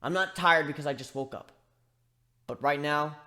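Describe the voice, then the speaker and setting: A man's voice: a little high, normal speed, sharp tone, pitched below the performer's own voice. A man voicing a young male griffin in a dramatic story reading, hurt and bitter, ranting with sarcastic emphasis. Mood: hurt, subdued. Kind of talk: dramatic fan fiction reading.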